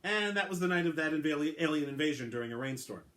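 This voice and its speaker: A man's voice: on the high side, lively intonation, fast talker, fairly bright tone, voice pushed up. A man doing a dry, blunt woman detective. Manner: dry, clipped and sarcastic.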